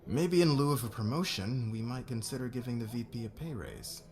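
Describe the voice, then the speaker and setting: A man's voice: medium pitch, expressive, brisk, a little mellow, soft background music, natural voice. A man playing a demanding, driven fashion boss in an ASMR roleplay audio drama, with a commanding, persuasive manner. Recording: clear background ambience noise.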